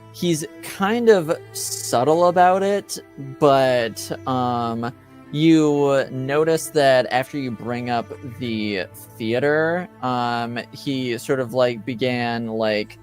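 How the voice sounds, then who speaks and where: A man acts as game master in a mid-range voice. He narrates in a casual, halting way full of "like" and "you know", with faint music behind him. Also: mid-range voice.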